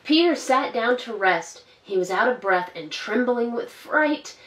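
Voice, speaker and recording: A woman librarian reads a storybook aloud for an online story time, gentle and expressive. She stops now and then to ask the children questions. The room is roomy and echoey, and she sits a little back from the mic. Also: fairly high pitch, unhurried, distant mic. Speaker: school librarian. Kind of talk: reading a picture book aloud to children.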